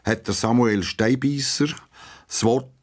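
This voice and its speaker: A man with a Swiss German accent narrating a children's radio play as an expressive storyteller. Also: mid-range voice, a little slow.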